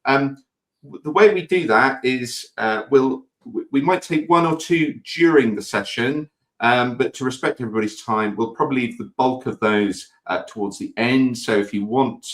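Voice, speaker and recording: A man's voice: mid-range voice, moderate pace, fairly bright tone. A man hosting a lunchtime webinar, chatty and light, with lots of uhs as he sets things up. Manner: chatty, laughs easily, many uhs. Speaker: webinar host.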